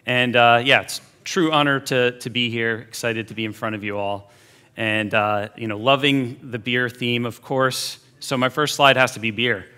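A man's voice: mid-range voice, steady tone, moderate pace, bright, forceful tone, clean tone. A man, giving a casual, chatty conference keynote from a large hall stage, full of fillers and asides. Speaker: keynote speaker.

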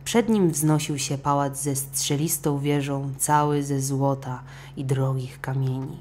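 Very low-voiced woman storyteller. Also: fairly even intonation, measured pace, mellow, a little hiss or hum. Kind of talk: expressive scripted audiobook narration for children.

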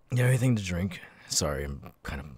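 A man voicing a weary, homeless young ex-convict with tics, halting and stammering in an ASMR audio roleplay. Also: low-pitched, vocal fry, darkish tone, slightly rough, no echo, exaggerated voice. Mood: weary, hesitant. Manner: halting, stammering, with abrupt repeated-word tics. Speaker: voice actor. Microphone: close microphone, dry.

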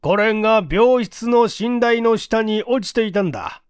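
Cool, probing police chief for mystery fiction, acted by a male reader, formal, deliberate, dry sound. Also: sits high, clear, resonant tone, no room sound, voice pushed up. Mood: accusing, stern.

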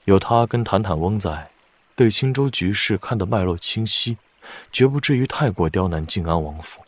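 An actor playing a calm, calculating blind male strategist in a wuxia audio drama, speaking in a measured, deliberate way. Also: lowish voice, breathy edge, no room sound. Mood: measured, analytical.

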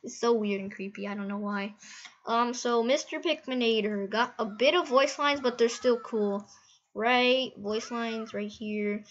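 Casting: female gaming host, high-pitched, casual and giggly, slightly muffled lo-fi recording. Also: unhurried, a little hiss or hum. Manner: giggly, rambling, easily amazed. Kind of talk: casual gameplay commentary.

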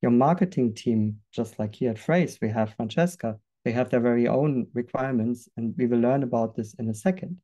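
A man presenting a software product in a webinar, earnest and a little halting, with fillers and restarts. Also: medium pitch.

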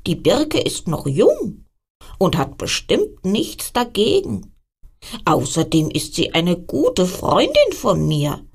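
A woman voicing a friendly young frog in a bedtime story, coaxing and deliberate. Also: mid-range voice, wildly swooping pitch, gravelly, husky, exaggerated voice.

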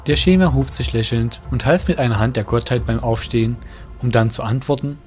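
A man narrates a fantasy audio drama from a script. His voice is medium in pitch, and faint music plays behind him. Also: brisk. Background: soft music.

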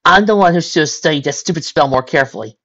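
A woman's voice: deep voice, animated, fast talker, fairly bright tone, pitched above the performer's own voice. A woman voicing a studious, conscientious female pony with an anxious, apologetic manner in a story reading. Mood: guilty. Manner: earnest, anxious. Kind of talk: fan fiction story reading. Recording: dull lo-fi recording.

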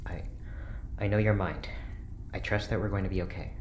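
Audio drama scientist, played by a man: deep, calm, analytical, exact in phrasing, understated delivery. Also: a little hiss or hum, lightly acted. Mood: calm, reassuring. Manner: measured, formal, precise. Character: calm, earnest, analytical scientist, self-sacrificing. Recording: faint background ambience.

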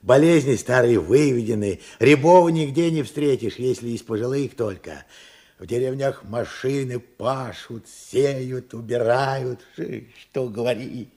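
An actor playing an older working-class father, earnest and proud, in Soviet radio drama style, with a husky, creaky, emphatic voice. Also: mid-range voice, unhurried, darkish tone. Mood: proud, reassuring. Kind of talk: Soviet radio play acting.

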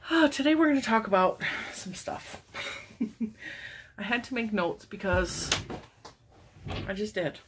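A woman's voice: medium pitch, measured pace, pauses often, noisy background. A woman in her forties hosting her crochet channel in casual chat, wheezy and congested, talking with lots of laughs. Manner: chatty, laughs often, animated hand gestures. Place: home craft room lined with yarn shelves.

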